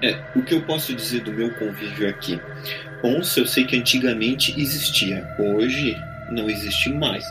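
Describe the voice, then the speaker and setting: A man's voice: mid-range voice, normal speed, low-quality recording. A man with a Brazilian Portuguese accent, casually telling anecdotes as a storyteller in a conversation. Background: background music.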